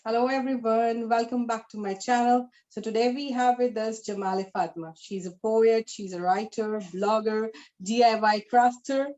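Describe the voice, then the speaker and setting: A woman's voice: fairly high pitch, steady tone, moderate pace, hardly any pauses, dark tone, dull audio, slight background noise. A woman hosting an author interview, friendly and eager, with hesitant fillers. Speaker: host. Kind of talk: casual interview.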